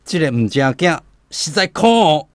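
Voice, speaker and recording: A man's voice: fairly high pitch, big pitch swings, normal speed. An actor with a Taiwanese accent playing an exasperated father of nearly sixty, scolding and indignant, in a radio drama. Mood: stern, indignant.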